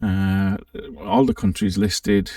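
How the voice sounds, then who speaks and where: A low-voiced man with a gravelly edge presents software in a casual walkthrough. His delivery swings freely in pitch as he thinks aloud, and it comes from a roomy spot, slightly away from the microphone. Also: wildly swooping pitch, slow, studio quality, recorded from a distance. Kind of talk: casual unscripted walkthrough commentary.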